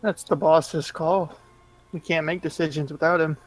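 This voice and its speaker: A man with a somewhat high voice plays a tabletop roleplaying game. He speaks casually, and faint music runs behind him. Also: steady tone, moderate pace, poor audio.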